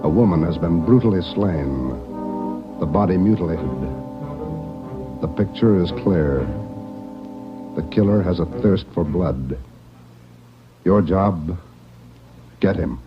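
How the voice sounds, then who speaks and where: A man booms out the dramatic opening of an old radio crime show. His voice is low and full. Loud music plays under him, and the sound is dull and lo-fi. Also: slow pace, long pauses. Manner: booming, dramatic announcer delivery. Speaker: announcer.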